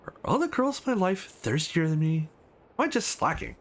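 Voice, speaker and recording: A man's voice: a little high, sing-song, moderate pace, pitched above the performer's own voice. A man voicing the wry, bantering male hero of a visual novel in a joking, sarcastic manner. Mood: exasperated.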